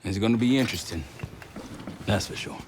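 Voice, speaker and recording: An actor playing a wary male intelligence operative with a husky voice in a game cutscene. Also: fairly low pitch, fairly quick, noisy background, close to an everyday voice. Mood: pensive, wary. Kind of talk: restrained cinematic game acting.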